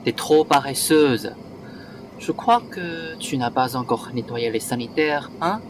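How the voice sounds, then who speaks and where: A man's voice: mid-range voice, unhurried, noisy background, lightly acted. A man playing a harsh, bullying head of household who scolds and gives orders in a student radio play. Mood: scolding, stern. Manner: scolding, domineering.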